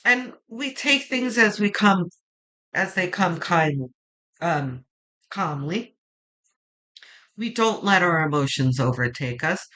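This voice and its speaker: Slow, low-voiced woman reading tarot. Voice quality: low voice, gravelly when quiet. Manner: slow, reassuring, thinks aloud while drawing cards. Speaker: tarot reader.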